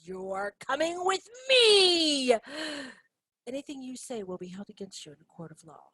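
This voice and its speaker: A young performer playing an excitable boy who discovers he can fly. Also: high-pitched, a little slow, no room sound, clean studio sound, heavily acted. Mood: accusing. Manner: excited, shouting.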